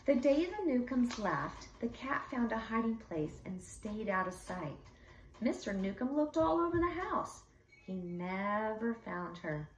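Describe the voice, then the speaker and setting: A woman reading a children's story aloud expressively, with deliberate, dramatic emphasis for young listeners. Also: fairly high pitch, sing-song. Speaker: read-aloud storyteller for schoolchildren. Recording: echoey room, slightly distant mic.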